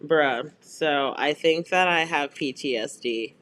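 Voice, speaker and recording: A man's voice: a little high, slow, a bit bright. A man co-hosting a casual podcast chat, telling stories and laughing often. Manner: laughing, storytelling. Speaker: podcast co-host.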